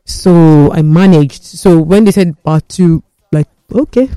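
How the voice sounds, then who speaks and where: A man hosting a casual studio talk show, reading viewer messages with lively, teasing questions. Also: fairly high pitch, measured pace, clean tone, dry recording, soft background music.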